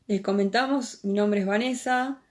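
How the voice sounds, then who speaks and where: A woman's voice: mid-range voice, normal speed. Friendly Argentine woman hosting live. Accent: Rioplatense Argentine. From Buenos Aires province, Argentina. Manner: friendly, laughs often, informal. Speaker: interviewer, host. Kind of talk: casual live-stream interview. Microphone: video call.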